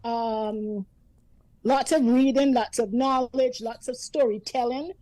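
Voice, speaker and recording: A woman's voice: on the high side, fairly even intonation, slow pace, warm tone, no room sound, rough recording. A woman, a radio guest telling stories about growing up in a chatty, storytelling manner. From Antigua. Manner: chatty, storytelling, often laughing. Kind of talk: casual reminiscing conversation.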